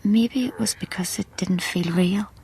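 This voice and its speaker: A woman's voice: mid-range voice, measured pace, a little mellow, a little hiss or hum, natural voice. An actress playing a grieving, guarded Irish woman journalist in a radio drama. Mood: wistful. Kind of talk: radio drama narration and dialogue.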